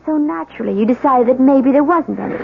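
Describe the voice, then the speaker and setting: High-voiced newlywed wife, defensive and rattled, tinny old radio drama with some hiss. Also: female voice, animated, fast talker, creaky. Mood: skeptical, indignant. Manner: indignant, challenging. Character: anxious, defiant newlywed wife in disbelief. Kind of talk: 1970s radio drama acting.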